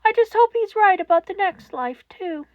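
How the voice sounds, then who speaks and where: A woman's voice: very high voice, animated, moderate pace, no room sound, phone-quality sound, voice pushed up. A woman voicing a despairing young boy in a fan-fiction reading, fragile, trembling and pleading.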